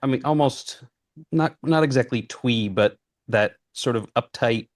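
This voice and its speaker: A man's voice: mid-range voice, a little slow. A man who voice acts, chatting casually about his craft. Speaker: voice actor. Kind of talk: casual chat.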